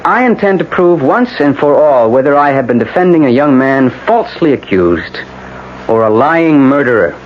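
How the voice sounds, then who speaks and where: An actor playing an insistent defense attorney in a radio drama, speaking in a dramatic, rhetorical, emphatic way. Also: male voice, medium pitch, sing-song, normal speed, natural voice. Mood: grave, theatrical. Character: theatrical, insistent male defense attorney addressing a court. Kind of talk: 1970s-80s radio drama acting. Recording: dull, hissy old radio broadcast.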